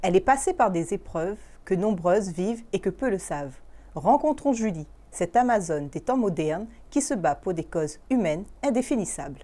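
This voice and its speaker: A woman's voice: medium pitch, lively intonation, moderate pace, dark tone. A woman hosting a talk show, friendly and welcoming, asking questions and giving short affirming murmurs. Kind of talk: hosted interview.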